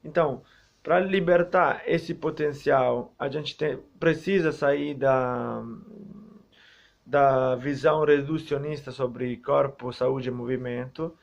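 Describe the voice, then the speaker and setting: A man's voice: medium pitch, steady tone, slow pace, creaky. A young Brazilian man with a Brazilian Portuguese accent, giving a recorded academic talk on physical education and health, hesitant, with many fillers and restarts. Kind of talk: recorded talk for a student group. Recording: dull lo-fi recording.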